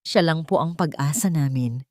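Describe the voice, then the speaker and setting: Lady doing a young servant girl for an audio drama, hoarse n hushed, begging respectfully, desperate. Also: deep voice, moderate pace, no room sound, clean studio sound, close to an everyday voice. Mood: desperate pleading. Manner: pleading, respectful. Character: young maidservant, feverish, desperate, pleading, humble.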